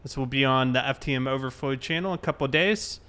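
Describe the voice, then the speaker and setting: A man's voice: medium pitch, fast, sharp tone, clean tone. A man hosting a roleplay game livestream, chatting casually with his viewers.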